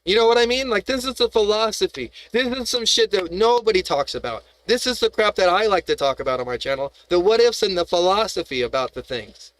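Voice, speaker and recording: A man's voice: sits high, animated, brisk, hardly any pauses, fairly bright tone, rough recording. A man hosting a live stream, ranting in a heated, profane, rambling way, full of restarts and rhetorical questions.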